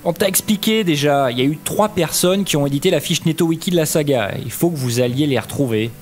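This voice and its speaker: A practical, impatient organiser played by a man in a comedy audio drama, speaking in a slightly high, everyday voice. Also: fast talker, close to an everyday voice. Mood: exasperated.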